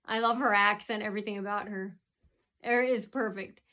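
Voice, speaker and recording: A woman's voice: medium pitch, fairly even intonation, moderate pace, bright, forceful tone. A woman from the United States talking in a rambling casual monologue, full of ums and uhs and constantly circling back with "so anyway.". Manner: meandering, keeps restarting with "so anyway"; heavy fillers, um and uh. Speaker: vlogger.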